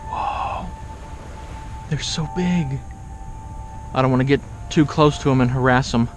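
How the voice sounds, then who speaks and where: A man's voice: unhurried, music in the background. A young man vlogging from a kayak on open water, commenting in breathless, awed exclamations through an action camera. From raised in Oklahoma, born in Kansas. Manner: breathless awed exclamations, often rising high. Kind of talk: excited outdoor vlog commentary. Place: kayak on open sea, outdoors. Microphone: action camera mounted on kayak.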